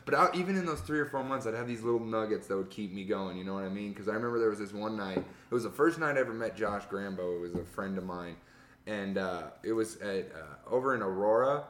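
A man with a loud, fairly low voice trades profane, rambling stand-up stories and laughs often. He talks casually as a guest. The room adds a noticeable echo. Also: quick pace. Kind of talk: casual podcast chat. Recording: roomy echo.

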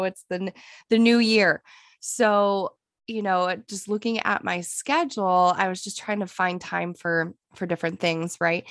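A woman's voice: medium pitch, no echo, clean studio sound. A woman in her thirties hosting a fitness podcast, talking casually and a bit nasally from a cold, rambling with fillers. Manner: chatty, rambling with fillers and restarts. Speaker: podcast host, fitness coach.

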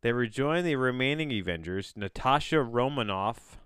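A man hosting a solo podcast, chatting in a rambling, halting way, often backtracking mid-sentence with a bemused, self-deprecating manner. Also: medium pitch, lively intonation, a bit bright, clean tone, no echo, studio quality. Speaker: podcast host.

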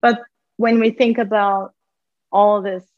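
A woman's voice: mid-range voice, level tone, slow pace, no room sound, phone-quality sound. A woman, a linguist and podcast guest, speaking earnestly in a candid conversation and repeating words for emphasis. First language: Turkish.

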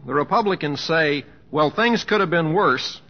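An actor playing a folksy, wry, kindly American humorist with a homespun drawl, in an old-time radio drama. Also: male voice, on the high side, expressive, moderate pace. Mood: joking. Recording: muffled old radio recording.

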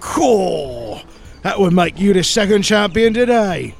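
A man's voice: sits high, lively intonation, takes it slowly, creaky, soft background music, full character voice. Man playing cheeky peasant. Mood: cheerful. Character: cheeky British peasant, chatty and blunt. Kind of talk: 1940s-style radio play acting.

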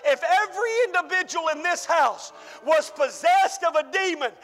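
Male pastor, fervent shouting preacher, strained voice, bright edge, echoing church hall. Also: extremely high pitch, moderate pace. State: losing his voice. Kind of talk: sermon.

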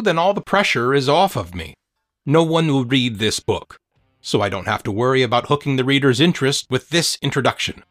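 A man with a mid-pitched voice reads scripted nonfiction in an earnest, measured manner, like an author hosting an audiobook podcast. The sound is dry and close, with plucked guitar music. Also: fairly bright tone, no echo. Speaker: author and podcast host reading his own book.

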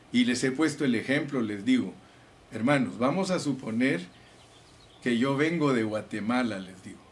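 A man preaching a sermon with fervor, calling his listeners brothers and reading scripture aloud. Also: medium pitch. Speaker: pastor.

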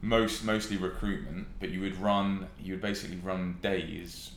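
Young male podcast guest, southern English, low-pitched, casual, echoey recording. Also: steady tone, moderate pace. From West Sussex, England. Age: young adult. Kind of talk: casual chat, telling own experience. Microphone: podcast microphone. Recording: roomy echo with faint noise.

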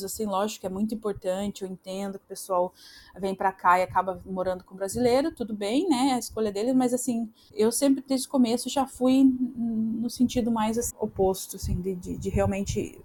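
A woman's voice: mid-range voice, fairly even intonation, fairly quick, darkish tone. A Brazilian woman in her thirties, a podcast guest talking casually, with many fillers and restarts. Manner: chatty, frequent fillers and restarts. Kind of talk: casual interview conversation.

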